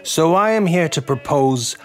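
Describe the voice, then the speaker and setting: Swaggering New mobster boss. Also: male voice, a little high, expressive. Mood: persuasive. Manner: measured, courtly politeness with a threat underneath. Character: smooth, menacing male mobster boss, 1920s New York. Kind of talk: period radio drama acting.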